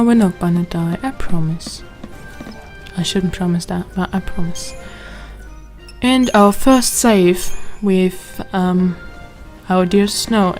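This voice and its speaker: A woman's voice: mid-range voice, a little mellow. Woman with German accent, let's play commentary, reads text aloud, faint game music behind. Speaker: gameplay commentator.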